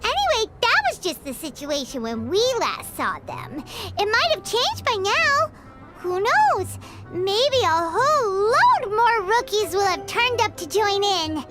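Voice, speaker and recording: Squeaky, excitable little fairy companion. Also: female voice, unhurried, loud music behind the voice, full character voice. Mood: chirpy, confident. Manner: exclaiming, childlike, expressive. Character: small excitable fairy-like companion girl, chatty, blunt, refers to herself by name. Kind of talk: video game voice acting.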